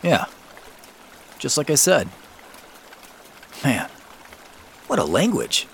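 A man's voice: medium pitch, huge pitch swings, slow, vocal fry, a bit bright, a little hiss or hum, exaggerated voice. A man acting in an audio drama as a wry everyman searcher, muttering to himself in a hesitant, informal way. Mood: puzzled, exasperated. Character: Joseph, wry everyman searcher puzzling over clues.

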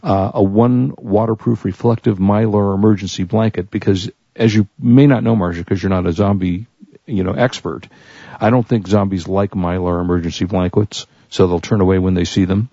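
A man's voice: on the low side, steady tone, normal speed, dark tone, breathy edge, no room sound, lo-fi sound. An American man co-hosting a radio show in casual banter, with a jokey, teasing manner.

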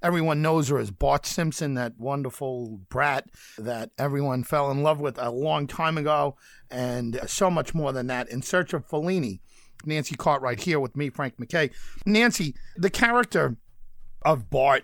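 A man's voice: medium pitch, moderate pace, no room sound, studio quality. A man hosting a radio talk show and interviewing his guest with effusive praise. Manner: warm, effusive praise, steady host patter.

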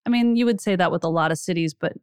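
A woman's voice: fairly low pitch, rapid-fire, darkish tone, clear, resonant tone, dry recording, studio quality. A woman hosting a podcast interview, chatty and curious, laughing easily as she asks questions. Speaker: podcast host. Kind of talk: casual interview chat.

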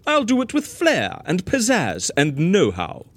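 Flamboyant male boss, declamatory rhyming audio drama, very animated, close dry sound. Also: high voice, measured pace, slightly rough, no room sound, exaggerated voice. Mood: grandly announcing. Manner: showy, declamatory. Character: flamboyant, theatrical male boss reading cards aloud.

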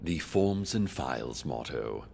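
Very deep, creaking board chairman. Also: sing-song, darkish tone, in a large hall, slight background noise, exaggerated voice. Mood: patronizing, coaxing. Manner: slow, commanding, patronizing. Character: imperious elderly chairman of a corporate board. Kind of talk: audio drama acting.

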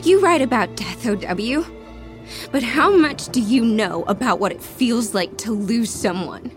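A woman's voice: fairly high pitch, lively intonation, unhurried. A woman playing an earnest, grieving young woman seeking answers in an audio drama, with music behind her. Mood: earnest, wounded.

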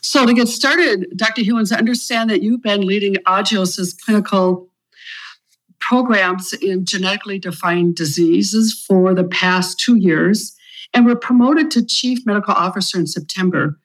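A woman hosting an interview podcast, asking her prepared questions in a measured, polite way. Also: medium pitch, rough recording. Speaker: podcast host, community advocate.

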